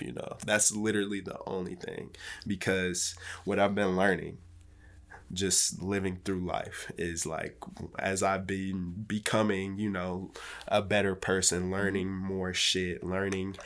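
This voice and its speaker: A man's voice: low-pitched, a bit bright. A man in his twenties chatting casually as a podcast guest, laid-back and rambling, swearing freely. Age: 20s.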